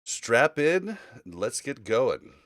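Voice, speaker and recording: A man's voice: mid-range voice, sing-song, slow, fairly bright tone, no echo. A man hosting a casual gaming podcast, speaking conversationally into a close mic. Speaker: podcast host.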